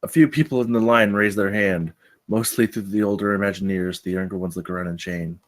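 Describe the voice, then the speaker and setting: A man's voice: lowish voice, steady tone, a little fast. A man narrating stage directions from a script at a live table read.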